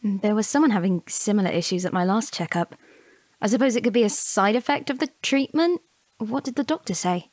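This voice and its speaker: A woman's voice: medium pitch, animated, brisk, darkish tone, dry recording, close to an everyday voice. A woman playing an affectionate, down-to-earth wife in a radio drama, with casual, teasing, natural speech. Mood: caring.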